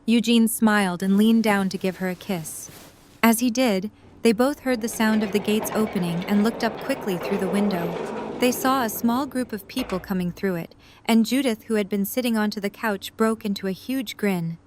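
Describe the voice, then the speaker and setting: A woman's voice: mid-range voice, moderate pace, darkish tone. Female story narrator, faint background noise.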